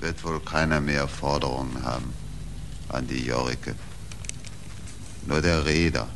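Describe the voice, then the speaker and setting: Resigned brooder, extremely low creaky voice, musing, halting, vintage radio play, noisy recording. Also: male voice, steady tone. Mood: resigned, regretful. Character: resigned, brooding man mourning lost sailors. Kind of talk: 1940s German radio drama acting.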